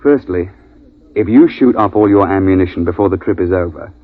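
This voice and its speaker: An actor playing a brisk, commanding British big-game guide who tells his story in 1950s radio drama style, clipped and authoritative. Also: low-pitched, close to an everyday voice. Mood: angry scolding. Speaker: narrator and safari leader. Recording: dull vintage 1950s radio broadcast.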